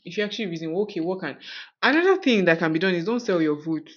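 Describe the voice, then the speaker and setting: A woman's voice: lowish voice, lively intonation, fast, lo-fi sound. A woman with a Nigerian accent hosting a podcast, talking casually and outspokenly with rhetorical questions. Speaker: podcast host. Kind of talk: casual conversational podcast talk.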